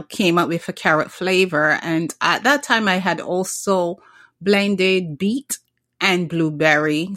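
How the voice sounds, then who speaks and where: Middle-aged woman from Barbados, earnest founder guest, lowish pitch, dry close mic. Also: a bit bright, no room sound. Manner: earnest, with frequent restarts. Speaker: small business founder, guest. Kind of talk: casual interview talk.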